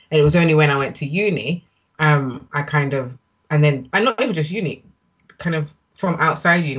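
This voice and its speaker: A woman with a British accent talks casually as an interview guest. Her voice is husky, and she is candid, repeating words for emphasis. The audio is a little dull and lo-fi. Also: deep voice. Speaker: interview guest, business owner.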